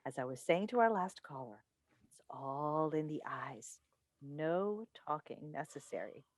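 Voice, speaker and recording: A woman's voice: low-pitched, lively intonation, measured pace, no room sound, studio quality. A woman in a staged play reading, playing a chatty, coaxing radio call-in host and doting, meddling mother with an emphatic theatrical delivery. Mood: chatty, confiding. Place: indoors on a video call. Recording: recorded over video call.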